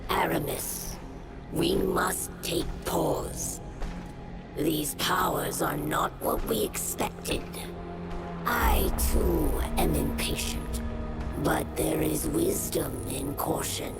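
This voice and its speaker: A man playing a wary, wise alien counselor in a game cutscene, measured and solemn. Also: on the high side, creaky. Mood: solemn caution. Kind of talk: video game cutscene acting. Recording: loud music behind the voice.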